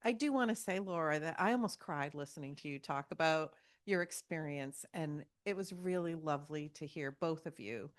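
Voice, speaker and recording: A woman commenting informally from the audience at a meeting in a warm, encouraging way. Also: low-pitched, normal speed, few pauses. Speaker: audience member commenting and asking questions.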